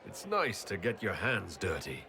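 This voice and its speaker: A man's voice: sits low, wildly swooping pitch, a little slow, a crowd in the background, exaggerated voice. An actor playing a composed, well-spoken young nobleman and leader in a video game, articulate and courteous. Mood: conversational. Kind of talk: video game character acting.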